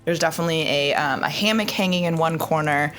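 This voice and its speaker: A woman playing a tabletop roleplay, describing her character and arguing in character in an animated way. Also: sits low, fast, soft background music. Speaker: player.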